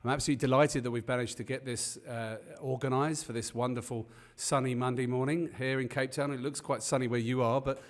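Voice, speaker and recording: A man's voice: medium pitch, steady tone, quick pace, few pauses. Rambling male interview host. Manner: rambling, restarts often, friendly. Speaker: podcast host. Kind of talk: casual interview hosting. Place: Cape Town.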